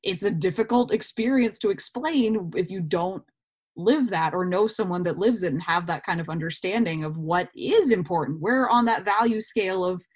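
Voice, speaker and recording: A woman's voice: lowish voice, moderate pace, breathy edge. A woman actor speaking as an interview guest, explaining her thoughts in a casual, reflective way.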